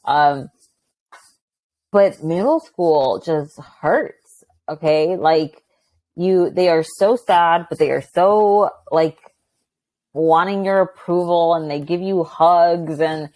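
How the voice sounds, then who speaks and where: A woman guest who is a high school teacher, chatting loudly and animatedly in a casual interview. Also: slow, no echo. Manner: loud, chatty, animated, many "like" fillers.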